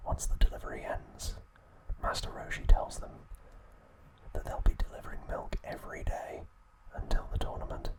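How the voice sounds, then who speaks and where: A man narrating trivia as an ASMR host, telling it softly and without hurry. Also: normal speed, low-quality recording.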